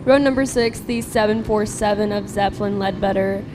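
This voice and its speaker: A woman announcer reading the starting grid row by row in a steady, list-like delivery. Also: medium pitch, normal speed, background noise. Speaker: race announcer.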